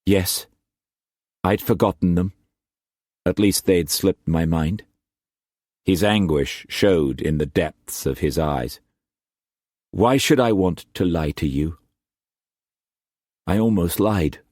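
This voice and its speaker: A man's voice: sits low, slow pace, pauses often, darkish tone, dry recording, studio quality. A man voicing an earnest, anxious young man in love.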